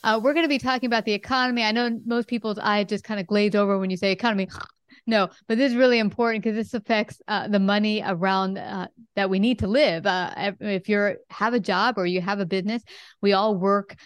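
A woman's voice: medium pitch, fairly even intonation, brisk, clear, resonant tone. A woman hosting an interview podcast in a conversational style. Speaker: podcast host.

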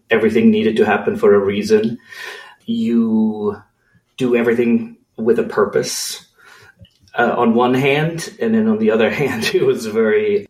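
Swiss guy talking slow and thoughtful in an interview, sounds kinda far off in an echoey room. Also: fairly low pitch, darkish tone. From Switzerland. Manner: thoughtful, measured, with fillers. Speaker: guest, creative director. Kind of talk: conversational interview. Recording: roomy, distant from the mic.

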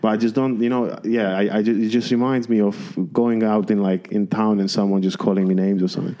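A man's voice: fairly low pitch, little pitch movement, brisk, mellow. A man from Romania, a podcast guest, talking casually and hesitantly, with many restarts. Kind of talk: casual chat.

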